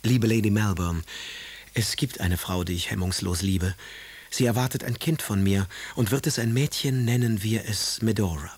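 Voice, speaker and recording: An actor playing a passionate, melancholic and sardonic Romantic poet in a literary radio drama, with a husky voice. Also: male voice, fairly low pitch, normal speed, darkish tone. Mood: confessional, anguished. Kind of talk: literary radio drama, reading letters aloud.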